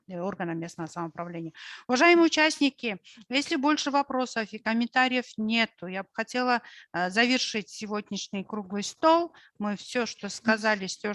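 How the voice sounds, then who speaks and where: A woman's voice: mid-range voice, fairly bright tone, no room sound. A woman moderating an online round table, polite and affirming as she wraps up. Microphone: video call audio.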